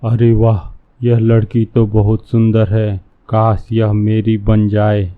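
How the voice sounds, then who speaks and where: A man's voice: lowish voice, monotone, slow, dry recording. A man playing a scheming, lecherous fake holy man in a cartoon, speaking in a sly, pompous way. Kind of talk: cartoon dialogue. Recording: narrow, phone-like muffled sound.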